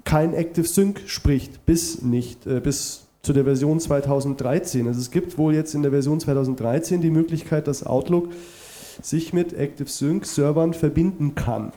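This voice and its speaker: A man's voice: fairly high pitch, moderate pace, warm tone. A man with a cold presenting at a conference and answering audience questions, with many fillers and restarts. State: has a cold.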